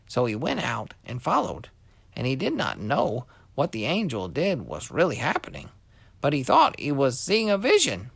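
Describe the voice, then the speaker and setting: A man's voice: mid-range voice, huge pitch swings, moderate pace, vocal fry, a bit bright, slightly rough, no room sound. A man reading a scripture story expressively for children as a scripted narration.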